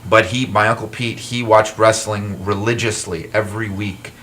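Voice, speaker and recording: Bright-voiced man telling book stories. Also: on the low side, normal speed, slight background noise. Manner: animated, self-deprecating storyteller with frequent restarts. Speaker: author and wrestling historian guest. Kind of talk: casual talk-show interview.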